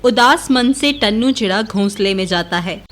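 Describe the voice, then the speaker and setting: A woman's voice: medium pitch, lively intonation, moderate pace, a bit bright. A woman narrator reading a scripted children's moral story.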